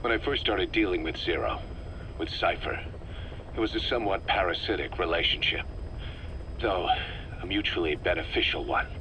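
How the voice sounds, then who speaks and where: A man's voice: low-pitched, normal speed, pauses often, background noise, lightly acted. An actor playing a bitter, driven mercenary second-in-command, briefing his boss intensely in dramatic video game dialogue. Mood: grim warning. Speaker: military business partner briefing his boss.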